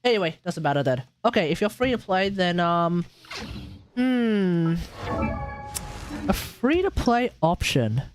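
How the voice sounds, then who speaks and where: A man's voice: high voice, big pitch swings, measured pace, pauses often, soft background music. A man who streams games, giving casual, slangy commentary and bluntly thinking aloud as he reviews. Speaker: game streamer.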